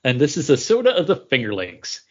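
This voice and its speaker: A man's voice: mid-range voice, wildly swooping pitch, a little fast. A man hosting a podcast in casual conversation, recorded with dull lo-fi sound. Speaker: podcast host. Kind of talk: casual chat.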